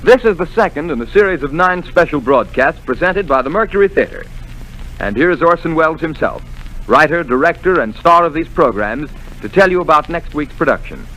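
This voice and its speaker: Lively formal radio announcer, man. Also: a little high, fairly quick, dull audio. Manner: formal, declaiming.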